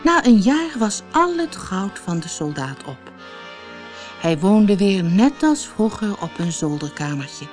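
Slow, very expressive woman storyteller. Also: mid-range voice, faint music behind. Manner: expressive, dramatic. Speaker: audiobook storyteller. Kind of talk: scripted fairy-tale narration.

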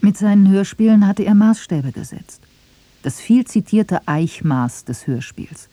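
A woman's voice: medium pitch, expressive, unhurried, mellow, no echo. A woman reading scripted narration for a radio documentary feature.

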